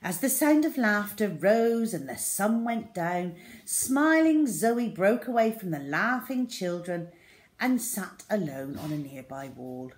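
Unhurried, expressive woman storyteller. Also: medium pitch. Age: middle-aged. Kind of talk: expressive children's story reading. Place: small room indoors at home.

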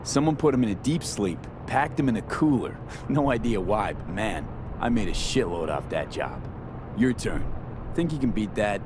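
A man's voice: fairly low pitch, sing-song, moderate pace. An actor playing a wry, streetwise young mercenary man in a video game, teasing and slangy in casual banter. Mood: playful. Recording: clear vehicle and engine noise.

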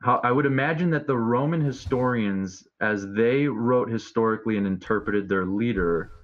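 A man's voice: fairly low pitch, fairly bright tone. A man in his thirties, a preacher debating casually and insistently with pointed questions, heard over a lo-fi video call. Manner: insistent, repeats key words, poses pointed questions. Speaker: street preacher, debate guest. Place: room at home, video call. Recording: dull, lo-fi video call audio.

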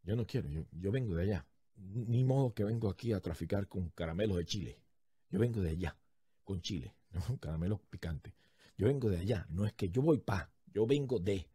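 Husky Venezuelan man telling a story. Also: sits low, moderate pace, no echo. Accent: Venezuelan Spanish. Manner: lively, jokey, acts out the people in his story with big gestures. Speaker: podcast host. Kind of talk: animated storytelling monologue. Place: small home radio studio, indoors. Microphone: large studio condenser mic, headphones.

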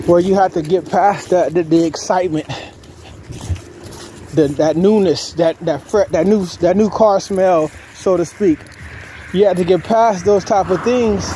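A man giving casual, slangy advice in a walk-and-talk vlog, repeating himself as he goes, recorded on a handheld phone. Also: high-pitched. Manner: colloquial, slangy, repeats himself, acts out quotes. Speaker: vlogger. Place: outdoors on a street while walking dogs. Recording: noisy outdoor phone recording.

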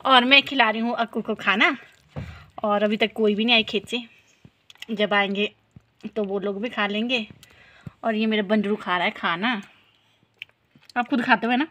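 A woman's voice: fairly high pitch, normal speed, long pauses, sharp tone. A woman, chatting casually as a family vlogger into a handheld phone. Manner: addresses viewers and family directly. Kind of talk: casual vlog chat. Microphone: phone at arm's length. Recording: handheld phone with background noise.

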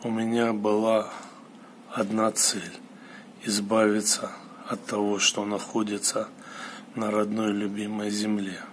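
A man tells a personal story in a solemn, halting way, breaking it into short phrases. His voice sits fairly low and stays very flat in pitch. Clear background noise runs under the recording. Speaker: storyteller recounting a mystical experience. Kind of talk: slow personal monologue.

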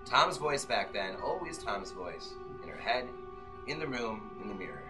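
A man's voice: lowish voice, big pitch swings, normal speed. Man at a bookstore reading, recorded from afar. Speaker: author reading from own novel. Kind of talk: informal talk and dramatic novel reading. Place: bookstore, indoors, small live reading. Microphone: far camera among the audience. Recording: distant camera mic, music playing. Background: music.

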